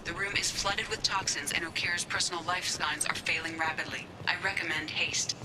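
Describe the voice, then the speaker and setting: An actress playing a calm artificial intelligence aboard a starship in a video game, speaking in a precise, measured, clinical way. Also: female voice, fairly low pitch, heavy background noise, lightly acted. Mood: calm, urgent. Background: loud game music.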